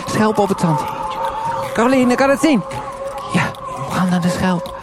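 An actor playing an anguished detective in a live noir radio drama, halting and repeating his words. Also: high-pitched, lively intonation, heavy background noise. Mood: dreamy, frantic. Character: anguished male detective, rambling in a fevered dream. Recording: live stage with loud music.

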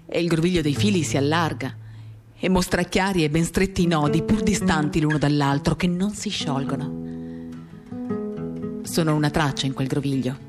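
A woman gives an expressive, lyrical dramatic reading of a first-person literary story, shifting in intensity as she tells it. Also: on the low side. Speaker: reader of a literary work. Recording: acoustic guitar music accompanying the voice. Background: acoustic guitar.

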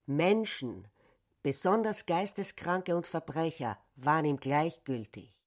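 A woman's voice: low-pitched, lively intonation, unhurried. A woman narrating a literary radio drama in a scripted storytelling style.

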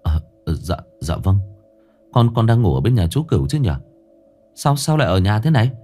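Dutiful young son in grief, voiced by the narrator, earnest and tearful. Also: male voice, fairly low pitch, very animated, moderate pace, warm tone, soft background music. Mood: bewildered. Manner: earnest, sobbing cries.

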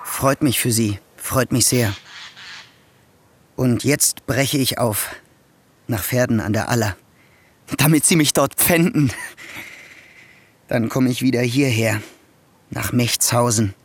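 A man's voice: medium pitch, slow pace, pauses often, husky, dry recording. An actor playing a resentful, debt-ridden young man who talks in a bitter, mocking, self-pitying way in a radio drama. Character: broke, resentful young male nephew. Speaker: new schoolmaster, the nephew.

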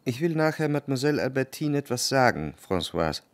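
An actor playing an anxious, introspective gentleman who narrates his obsessive love in a literary radio play, measured and reflective. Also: medium pitch, very animated, no echo, lightly acted. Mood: anxious, brooding.

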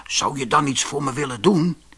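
A man with a Dutch accent voicing an enchanted horse in a fairy tale, speaking in a hushed, confiding, pleading way. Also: medium pitch, moderate pace, slightly rough. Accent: Dutch, from the Netherlands.

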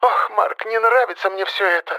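A man's voice: sits high, moderate pace, bright, forceful tone, pitched above the performer's own voice. A man voicing an anxious, nagging elderly mother in an audiobook story, plaintive and lecturing, often sighing. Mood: reproachful.

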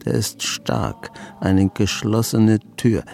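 A man's voice: lowish voice, slow pace, gravelly, a little mellow. A misanthropic old hermit of a grandfather in a radio play, grumbling with sardonic bite and dramatic brooding. Music plays behind his voice. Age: older. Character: grumpy, reclusive old grandfather, misanthropic former rebel. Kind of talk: German radio drama acting, dialogue and inner monologue.

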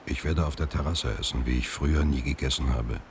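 A man acting a proud, washed-up middle-aged actor in a German radio drama, speaking in a measured, deliberate way. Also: a bass voice, slight background noise. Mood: reflective, composed.

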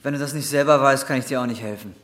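A man's voice: mid-range voice, fast talker, fairly bright tone, lightly acted. An actor in a radio drama playing a desperate, bitter drug courier in his twenties, coarse and weary. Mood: bitter, hurt. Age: 20s. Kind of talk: radio drama acting and first-person narration.

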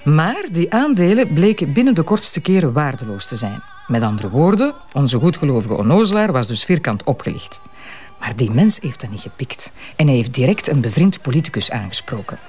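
Animated, teasing Flemish wife. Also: female voice, low voice, fairly quick, faint music behind. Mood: confiding, animated. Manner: playful, colloquial. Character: teasing, self-assured wife of a police detective. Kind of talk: naturalistic radio drama dialogue.

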